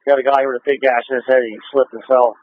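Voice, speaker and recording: Fast-talking male officer on tinny radio. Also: mid-range voice, little pitch movement. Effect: two-way radio. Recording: tinny band-limited police scanner radio.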